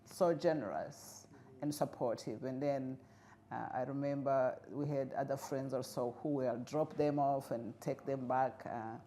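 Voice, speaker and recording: Casting: middle-aged woman, grew up in Rwanda, native Kinyarwanda speaker, low mellow voice, reflective and earnest, light noise behind. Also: steady tone. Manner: earnest, reflective, pauses with fillers. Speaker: guest, refugee integration expert. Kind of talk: interview conversation.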